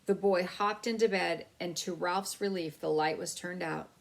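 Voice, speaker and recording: A woman storyteller reads a children's book aloud. Her voice is on the low side with a clear vocal fry. The narration is expressive. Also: normal speed, low-quality recording.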